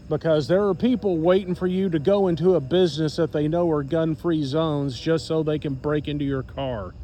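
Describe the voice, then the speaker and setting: A man's voice: a little high, a little mellow, slight background noise. An American man telling a personal story casually to followers, in a blunt, sarcastic, plain-spoken manner. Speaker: storyteller recounting own experience to followers.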